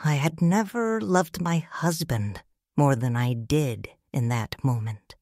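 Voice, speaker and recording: Slow low-voiced woman narrator, tender. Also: no echo, studio quality. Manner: slow, soft, tender and reflective. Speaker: first-person narrator, a grieving widow and mother. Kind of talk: scripted audiobook narration.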